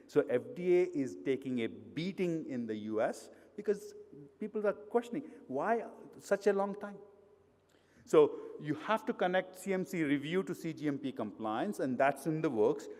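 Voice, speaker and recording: A man's voice: mid-range voice, darkish tone. A middle-aged man with an Indian accent, giving a conversational conference talk full of fillers and restarts. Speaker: conference speaker. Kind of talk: conference presentation. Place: hotel conference hall. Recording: long hall reverb.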